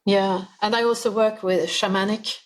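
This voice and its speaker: A woman's voice: mid-range voice, fairly even intonation, moderate pace. A woman being interviewed as a spiritual healer, explaining her ideas earnestly in simple, uneven English phrasing. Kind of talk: podcast interview answers.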